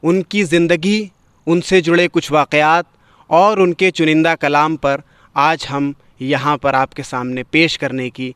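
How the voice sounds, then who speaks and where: A man's voice: fairly high pitch, steady tone, unhurried, fairly bright tone, clean tone, dry recording. A man hosting a literary programme in a formal, courteous, rhetorical way. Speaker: host.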